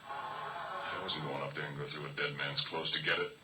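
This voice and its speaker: A rough, slangy oil-rig hand in an old radio horror play. His voice is very low and he tells his grim story in a folksy way. The old recording sounds distant, with music underneath. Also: moderate pace. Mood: shaken. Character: Porky, rough working-class male oil-rig hand telling a grim tale. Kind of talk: old-time radio horror drama acting, first-person narration.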